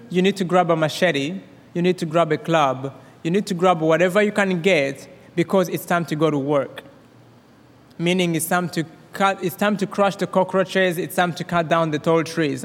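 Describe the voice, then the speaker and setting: A young man with a Rwandan accent tells his life story to a church congregation. He talks fast and eagerly, often stopping to restart a phrase. His voice sits a little high and carries a long reverb off the walls of a big hall. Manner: fast, excited, with many restarts. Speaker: guest speaker. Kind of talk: personal testimony in church.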